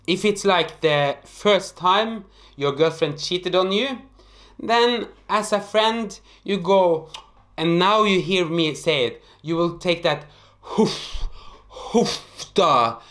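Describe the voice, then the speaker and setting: A man in his thirties from northern Norway with a Norwegian accent, explaining an expression to camera in an animated, hesitant way, in a small apartment room. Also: sits high. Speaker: host.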